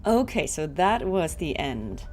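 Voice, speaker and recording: A woman's voice: sits low, very animated, unhurried, a little mellow, a little hiss or hum. A woman streamer chatting casually and appreciatively, rambling from thought to thought. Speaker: streamer and voice actress. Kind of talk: casual livestream chat.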